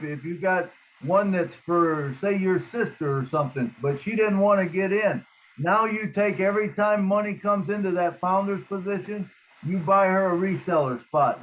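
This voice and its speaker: A man hosting a group call, easygoing and chatty, calling guests up to speak. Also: high voice. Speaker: host. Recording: tinny phone-like line.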